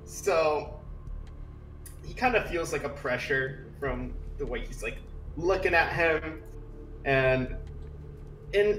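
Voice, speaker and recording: Casting: man, tabletop player, slow casual improvised delivery, halting and filler-heavy, roomy and slightly off-mic. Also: mid-range voice. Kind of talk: casual improvised roleplay description. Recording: roomy, slightly distant microphone.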